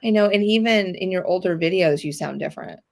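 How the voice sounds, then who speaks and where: A woman co-hosts a casual live stream and keeps the talk going with agreeable murmurs. Her voice sits somewhat low for a woman. She speaks in an easy, conversational way. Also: fairly quick, vocal fry, darkish tone. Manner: agreeable, frequent murmured backchannels. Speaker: co-host of a live sewing stream. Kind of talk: casual chat.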